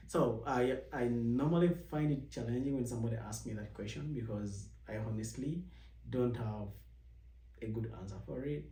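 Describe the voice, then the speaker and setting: A young man from Uganda talks through his experiences in a relaxed, rambling way, often restarting his sentences. His mellow voice sits a little back from the mic in an echoey room, and it jumps higher whenever he mimics someone asking him a question. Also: distant mic. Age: young adult. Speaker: vlog host. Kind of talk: casual personal storytelling.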